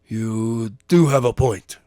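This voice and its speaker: A calm, thoughtful ally who talks through a plan step by step, read by a man in his own voice. The delivery is measured and even, mid-pitched, recorded close and dry. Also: dry recording, close to an everyday voice. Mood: reasoning. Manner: measured, reasoning. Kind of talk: amateur audiobook reading.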